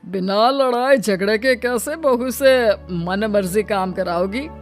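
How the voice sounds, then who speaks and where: A woman voicing a calm, peace-loving elderly father-in-law in a cartoon who pleads to avoid quarrels. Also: medium pitch, slow, faint music behind, natural voice. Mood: soothing.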